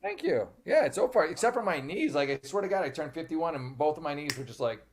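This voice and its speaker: A man's voice: on the high side, animated, quick pace, a little hiss or hum. A man in his fifties, a comedy guest, chatting casually and joking along. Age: 50s.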